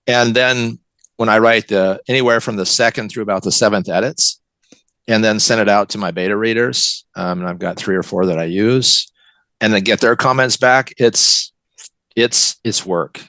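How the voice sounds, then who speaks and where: Older American man with a creaky, low voice. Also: normal speed, pushed and bright, dry recording. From West Coast of the United States. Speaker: guest, novelist and college teacher. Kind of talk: conversational interview answers.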